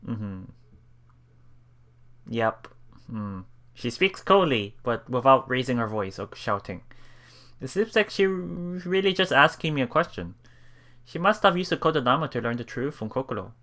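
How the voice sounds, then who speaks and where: A man reading visual novel text aloud as a let's play host, with casual commentary and a halting delivery that repeats phrases. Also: medium pitch, very animated, sharp tone. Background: game music.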